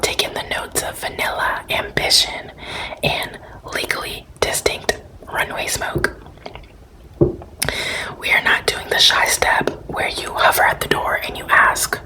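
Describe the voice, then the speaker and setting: A woman's voice: a little slow, natural voice, poor audio. A woman doing a whispered ASMR roleplay as a flamboyant, self-important celebrity shop owner, theatrical, boastful and sassy.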